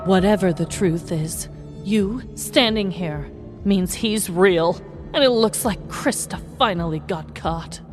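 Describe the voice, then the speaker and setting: A woman's voice: on the low side, takes it slowly, over music. A woman playing a nosy neighbor in a comedy audio sketch, theatrical and conspiratorial. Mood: dramatic, conspiratorial. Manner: theatrical, conspiratorial, hushed storytelling. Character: nosy dramatic middle-aged woman neighbor.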